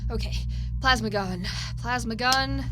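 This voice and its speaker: A woman's voice: on the high side, measured pace, music in the background, close to an everyday voice. A woman playing a feisty, sarcastic young pilot on a solo mission in a sci-fi audio drama, given to wry muttering and swearing. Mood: tense, muttering. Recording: loud vehicle and ambient effects noise.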